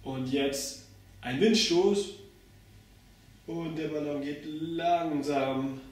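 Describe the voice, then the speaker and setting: Young adult man, slow-paced instructor, slightly high voice, step-by-step demonstration, very reverberant room. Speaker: circus pantomime instructor. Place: bare indoor room with hard walls. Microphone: camera mic across the room. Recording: very echoey room, far from mic.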